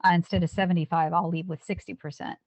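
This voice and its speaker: Earnest fifty-year-old woman, halting speech. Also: lowish voice, steady tone, darkish tone, no echo. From United States. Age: 50s. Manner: earnest, halting, with frequent restarts. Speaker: guest, police officer and Navy veteran. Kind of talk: candid interview talk.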